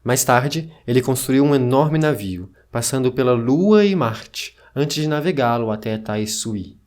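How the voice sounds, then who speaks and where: A man reading scripted narration of an ancient text in a solemn, measured way. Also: medium pitch, expressive, a little mellow.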